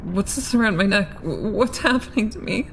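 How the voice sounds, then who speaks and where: A woman's voice: mid-range voice, expressive, moderate pace, a little hiss or hum, lightly acted, poor audio. A young woman playing a frightened, confused newborn vampire in an audio drama, stammering and hesitant. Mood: shaken, confused.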